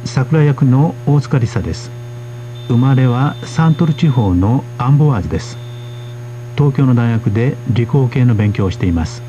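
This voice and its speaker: A man hosting a radio language course, speaking in a polite, steady way as he interprets for his guests. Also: mid-range voice, brisk, dull audio, slight background noise. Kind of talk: radio lesson presenting.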